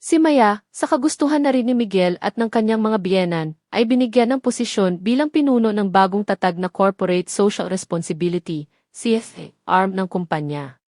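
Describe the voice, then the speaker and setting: A woman's voice: mid-range voice, moderate pace, darkish tone, no room sound, studio quality. A woman with a Filipino accent reads a scripted dramatic story as narrator. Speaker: narrator of audio drama stories.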